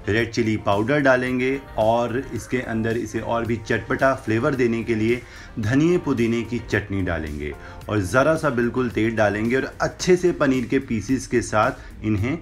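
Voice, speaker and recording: Young man explaining a recipe step by step. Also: medium pitch. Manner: instructive, mixes English phrases into Hindi. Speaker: cooking channel host. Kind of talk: recipe demonstration voice-over. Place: home kitchen with brick wall.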